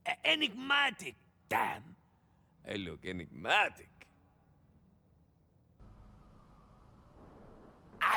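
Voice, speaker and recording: Cocky cyborg ex-soldier, Mexican-American accent, hugely swooping intonation, mid-range pitch, rambling, theatrical, video game monologue. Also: male voice, vocal fry, pushed and bright, full character voice. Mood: vain, playful. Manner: rambling, hesitant, theatrical, peppering talk with Spanish. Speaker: playable game character.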